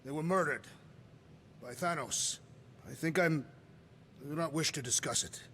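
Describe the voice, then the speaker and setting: An actor playing a solemn, literal-minded, grieving warrior in a video game, with formal, stiff and deliberate speech. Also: male voice, fairly high pitch, fairly bright tone, slight background noise. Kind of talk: video game character acting.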